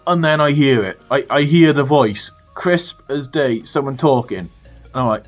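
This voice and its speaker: A British man telling a funny story to his viewers in a casual, animated way, swearing freely. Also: medium pitch, normal speed, soft background music. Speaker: storytelling vlogger.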